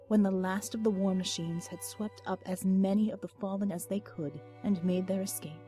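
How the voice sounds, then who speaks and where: A woman narrating a dramatic story in a solemn, measured, scripted style. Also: mid-range voice, warm tone. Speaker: audiobook narrator. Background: music.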